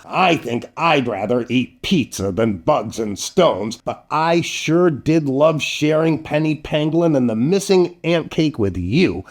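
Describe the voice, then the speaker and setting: A man's voice: mid-range voice, a little mellow. An older man hosting a children's storytime, speaking playfully and with exaggerated expression to young viewers, as an entertainer.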